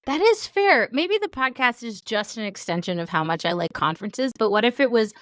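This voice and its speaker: A woman's voice: a little high, expressive, moderate pace, a bit bright, no echo, studio quality. A woman hosting a podcast, introducing her guest and chatting enthusiastically, with frequent "um" fillers. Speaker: podcast host. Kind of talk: podcast intro and interview chat.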